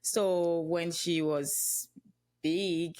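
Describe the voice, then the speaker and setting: A woman's voice: fairly low pitch, steady tone, drawn-out words, a little mellow. A woman in her thirties from Cameroon with a Cameroonian accent, telling her life story as a podcast guest in an earnest, reflective way with touches of humor. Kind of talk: podcast interview.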